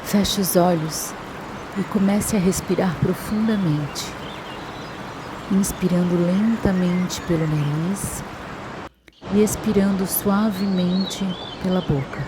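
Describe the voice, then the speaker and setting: A Brazilian woman narrating a scripted guided meditation in a soothing, measured, instructional manner. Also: on the low side. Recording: constant waterfall noise behind the voice. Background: waterfall and nature sounds.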